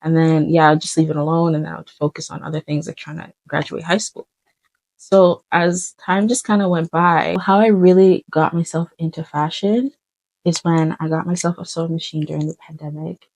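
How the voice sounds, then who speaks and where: A young woman, talking through her story in a relaxed, rambling vlog style. Her voice sits fairly low and sounds mellow and warm, full of "like" and quick restarts. The sound is close and dry with no room around it. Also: no echo, low-quality recording. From Uganda. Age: 20s. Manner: chatty, lots of fillers and self-corrections. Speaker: fashion designer vlogger. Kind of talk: casual vlog storytelling while doing makeup.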